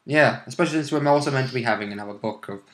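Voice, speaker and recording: A man's voice: medium pitch, racing, fairly bright tone. A British man chatting casually about a tabletop role-playing campaign, colloquial and opinionated, with the odd mild swear. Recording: echoey room.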